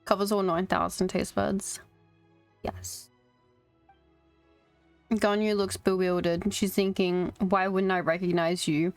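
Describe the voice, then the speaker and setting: A woman's voice: lowish voice, level tone, slow, pauses often, no room sound, faint music behind. A woman streaming a game, giving casual, wry, joking live commentary.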